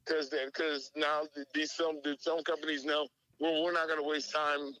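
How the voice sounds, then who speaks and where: A man calling in to a podcast over speakerphone, giving casual opinions in a halting, repetitive, sweary way. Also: on the high side, level tone, sharp tone, no room sound, rough recording. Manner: halting, repeats words, sweary. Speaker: podcast call-in guest. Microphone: phone call played on speakerphone.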